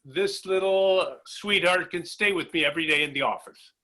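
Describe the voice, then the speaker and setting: A man playing a pompous, eccentric, over-cheerful job candidate with theatrical, drawn-out delivery in an improvised comedy sketch. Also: a little high, sharp tone. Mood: pompous, earnest. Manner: theatrical, emphatic, drawn-out enunciation.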